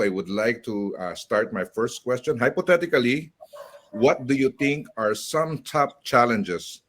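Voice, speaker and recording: A man's voice: mid-range voice, fairly bright tone. A man moderating a panel in a polite manner, introducing speakers and posing questions.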